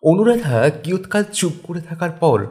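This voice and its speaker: A man's voice: fairly high pitch, very animated, normal speed, mellow. A man giving a scripted narration of a story. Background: background music.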